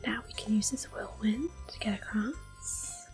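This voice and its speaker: A woman giving soft, breathy, mostly whispered ASMR commentary on a video game, gentle and close to the microphone. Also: a little high, measured pace. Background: soft game music.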